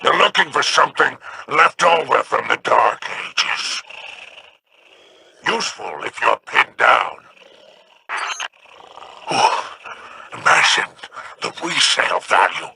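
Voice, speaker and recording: A gravelly, scheming alien crime boss. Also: male voice, mid-range voice, big pitch swings, slow, pauses often, bright, forceful tone, slight background noise, heavily acted. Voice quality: gravelly growl. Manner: sly, scheming, haughty. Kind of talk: video game dialogue.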